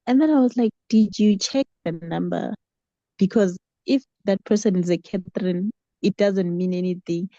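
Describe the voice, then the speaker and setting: A South African woman shares a story in a casual, conversational way, with bits of acted-out dialogue. Her voice is soft-edged and mellow. The sound is close and dry, a little dull like an online call. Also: medium pitch, moderate pace, no echo. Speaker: book club member sharing a story.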